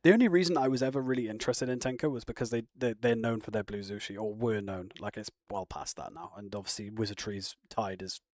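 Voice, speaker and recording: A man's voice: on the low side, steady tone, quick pace, hardly any pauses, a little mellow, dry recording, clean studio sound. A man chatting casually on a live stream, rambling and answering viewer comments. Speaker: live streamer reacting to chat. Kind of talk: casual chat.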